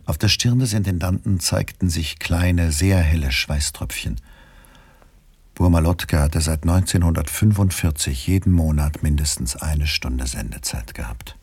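A male narrator tells a literary story for a radio drama. His voice is very low, and the delivery is that of scripted prose read aloud. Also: moderate pace, a little mellow, slightly rough, dry recording.

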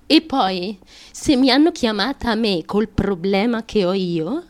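An actress playing a small, fragile, fiercely driven young woman in a theatrical monologue, childlike, halting and insistent, with repetitive phrasing. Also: mid-range voice, natural voice. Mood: determined, confiding. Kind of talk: theatrical stream-of-consciousness radio monologue.

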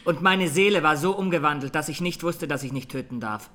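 An actor playing a young Armenian defendant, speaking simply and earnestly, without remorse, in a radio courtroom drama. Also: male voice, on the high side, fairly quick, pushed and bright. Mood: calm, unrepentant. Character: young Armenian male defendant, sincere, quietly unrepentant.

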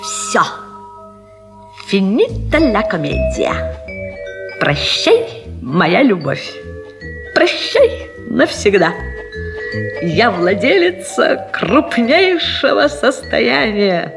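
An actress playing a greedy young Greek bride of a rich old chieftain, theatrical and mock-tragic in a comic radio diary monologue. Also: female voice, medium pitch, huge pitch swings, drawn-out words, gravelly, pauses often, exaggerated voice. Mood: calculating triumph. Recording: 1970s Soviet radio recording with music.

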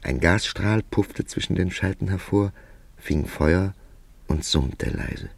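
An actor playing a reflective writer who narrates his own story in a measured, literary radio play style. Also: sits low, vocal fry, husky, dry recording, exaggerated voice. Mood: hushed, absorbed.

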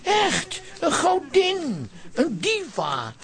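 Earnest wannabe salon artist: very animated, pretentious, radio comedy-drama. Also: male voice, very high-pitched, extremely slow, exaggerated voice. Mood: grandiose. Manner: grandiose, insistent. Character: earnest, pretentious male amateur hairdressing student.

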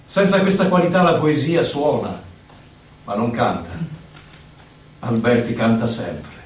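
An actor playing a solemn, impassioned poet who bears witness to the war dead, declaiming in a measured theatrical recitation. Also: male voice, medium pitch, very animated. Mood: reverent narrating. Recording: very echoey, distant, music accompaniment.

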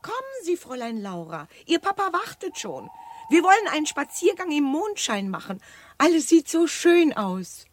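An actress in a radio drama playing a fussy, motherly, superstitious governess with a breathy, reassuring way of talking. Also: high voice, moderate pace. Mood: fond, coaxing. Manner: fussing, reassuring, animated.

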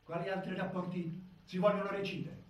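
An actor playing a critical, argumentative psychiatric expert in a formal, insistent stage monologue. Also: male voice, high-pitched, flat delivery, a little fast, close to an everyday voice. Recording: strongly echoing hall, far mic, dull sound.

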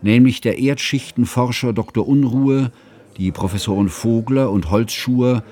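A man playing an earnest, fussy scholarly friend, narrating a literary radio drama in a measured, formal way. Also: mid-range voice, mellow, natural voice.

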